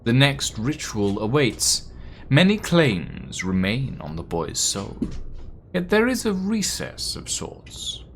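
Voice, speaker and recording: A man streaming a text adventure game, reading its text aloud slowly and dramatically, with playful, animated asides. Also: medium pitch, a little hiss or hum.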